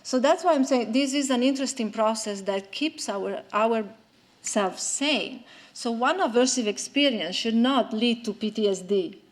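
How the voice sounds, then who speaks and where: A woman's voice: on the high side, normal speed. Woman answering science questions. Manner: explanatory, with frequent fillers and restarts. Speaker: conference speaker.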